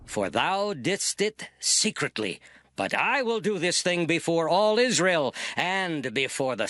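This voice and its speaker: Stern prophet, high and sweeping. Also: male voice. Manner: solemn, declamatory, emphatic. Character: stern male prophet delivering divine judgment. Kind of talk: dramatized scripture audio acting.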